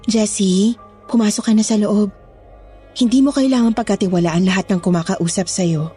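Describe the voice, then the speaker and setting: A woman's voice: medium pitch, normal speed, mellow, soft background music, lightly acted. A woman playing a stern, protective, secretive nun with a firm, solemn manner in an audio horror story.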